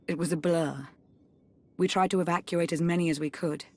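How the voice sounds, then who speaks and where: An actress playing a composed, dutiful Sith intelligence chief in a video game, proud yet self-reproachful, speaking formally and earnestly. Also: female voice, fairly low pitch, steady tone, fairly quick, darkish tone, close to an everyday voice. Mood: grave. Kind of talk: dramatic video game cutscene acting.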